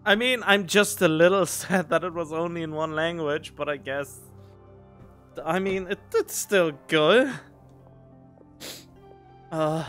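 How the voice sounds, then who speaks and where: High-pitched, unhurried man commenting on a game. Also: soft background music. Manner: emotional, expressive, talks in halting bursts. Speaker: game streamer. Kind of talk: casual reacting commentary over a game.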